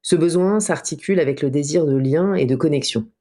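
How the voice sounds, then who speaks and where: Mellow-voiced woman reading a calm lesson. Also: low-pitched, fairly quick. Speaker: podcast co-host and enneagram coach. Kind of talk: read explanatory narration.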